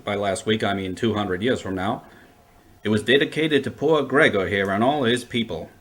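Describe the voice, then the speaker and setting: A man's voice: lowish voice, moderate pace, fairly bright tone, lightly acted. Man as dry, wry time-travelling adventurer. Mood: resigned, dry. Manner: dry, flippant, wordy. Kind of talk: audio drama acting.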